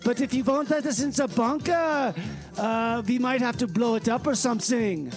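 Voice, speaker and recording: High-pitched, wheedling German buffoon. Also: male voice, moderate pace, over music. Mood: pleading. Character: Ralph, upbeat self-declared peace-loving German Nazi, wheedling to join. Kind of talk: comedy radio theater phone-in skit.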